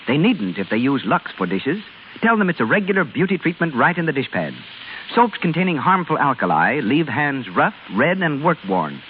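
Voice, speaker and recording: A man's voice: on the high side, big pitch swings, moderate pace, narrow, tinny audio. A man reading a scripted 1930s radio advertisement as commercial announcer, on an old hissy recording.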